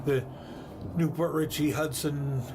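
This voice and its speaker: A man interviewing a band, asking questions haltingly with many filler sounds. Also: a little high, steady tone. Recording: noisy room behind.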